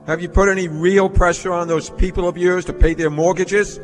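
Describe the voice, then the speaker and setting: A man's voice: sits high, level tone, moderate pace, faint music behind, lightly acted. An actor playing a rich, mean and scornful old businessman in a stage radio play. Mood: cold, scornful. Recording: hall reverb on a stage.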